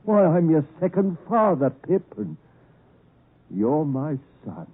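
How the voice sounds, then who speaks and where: An actor playing a rough old escaped convict in a 1950s radio drama, with rustic diction and halting, emphatic phrasing, by turns menacing and doting. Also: male voice, fairly high pitch, big pitch swings, exaggerated voice. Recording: muffled narrowband 1950s radio broadcast recording.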